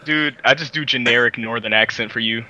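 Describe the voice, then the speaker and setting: A man's voice: mid-range voice, a little fast, bright, forceful tone, lo-fi sound. A man co-hosting a casual gaming chat show on a voice call, joking and cursing. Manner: joking, profane, laughs often. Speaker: podcast co-host.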